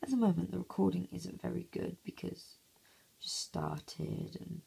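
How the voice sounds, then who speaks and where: Hoarse, gravelly half-whispering young man. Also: sits high, level tone, normal speed, dark tone. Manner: half-whispering to avoid waking sleepers. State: hoarse, croaky voice. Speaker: gameplay commentator. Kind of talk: casual mobile gameplay commentary. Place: home, early morning. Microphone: phone.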